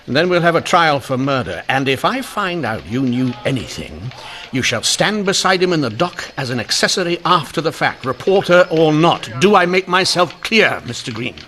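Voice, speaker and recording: An actor playing a gruff, impatient police inspector in an old-time radio mystery, blustering and commanding. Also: male voice, a little high, big pitch swings, moderate pace, bright, forceful tone. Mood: stern.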